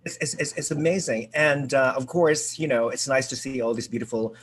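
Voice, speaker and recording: Excitable husky-voiced man hosting a video chat. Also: medium pitch, brisk. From United States. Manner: chatty, excitable, many fillers and restarts. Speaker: host. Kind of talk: casual video call chat. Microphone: video call.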